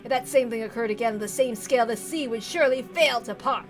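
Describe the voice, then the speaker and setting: A woman's voice: fairly high pitch, soft background music, pitched below the performer's own voice, close to an everyday voice. A woman voicing a deranged, tyrannical old patriarch with theatrical, obsessive rants, reading from a visual novel. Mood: grave, fervent. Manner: theatrical howling rants, drawn-out pleading.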